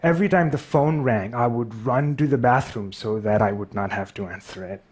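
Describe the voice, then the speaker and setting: A man's voice: mid-range voice, normal speed, a little mellow, husky. A man with a Pakistani accent telling a personal story, a speaker who stutters and pokes fun at himself. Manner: stutters, self-deprecating. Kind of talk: storytelling talk.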